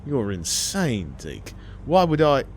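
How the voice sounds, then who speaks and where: A man's voice: mid-range voice, huge pitch swings, slow pace, slight background noise, voice pushed up, full character voice. A man voicing a wary scrap diver in an audio story, dry and incredulous.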